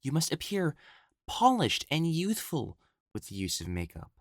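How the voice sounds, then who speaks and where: A man's voice: fairly high pitch, huge pitch swings, measured pace, dry recording, studio quality, exaggerated voice. A man voicing an elegant, effeminate male matchmaker in a scripted audio roleplay, prim and formal, haughty yet easily flustered. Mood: haughty, instructive.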